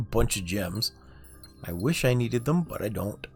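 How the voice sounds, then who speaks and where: A man streams a game and talks it through in a relaxed, muttering way. His voice sits low and gravelly, with a fry on the ends of phrases. Soft game music runs behind him. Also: measured pace. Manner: low-key, muttering to himself. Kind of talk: casual gameplay commentary.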